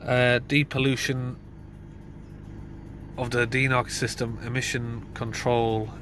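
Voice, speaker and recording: Flat-voiced mechanic, a bit congested. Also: male voice, mid-range voice, slow, noisy background. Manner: plain, thinking aloud with fillers. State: congested from hay fever. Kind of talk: casual diagnostic walkthrough. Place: inside a van in a garage.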